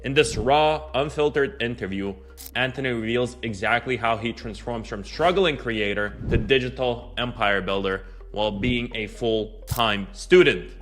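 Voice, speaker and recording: A man hosting an interview as a business coach, opening with an upbeat hype-style pitch before chatty questions. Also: a little slow, a bit bright, soft background music. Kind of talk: scripted intro then casual interview.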